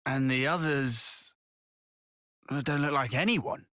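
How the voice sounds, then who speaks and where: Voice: mid-range voice, slow, a bit bright, dry recording, clean studio sound. An actor playing a brash, blunt young soldier in a video game, colloquial, sceptical and impatient in manner. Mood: curious. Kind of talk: video game cutscene acting. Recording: music behind the voice.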